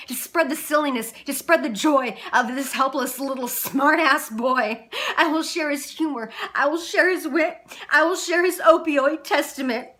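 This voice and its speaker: A woman's voice: sits high, pushed and bright. A young adult woman performing dramatic slam poetry with no music, in a rhythmic, emphatic, rhyming delivery. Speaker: slam poet.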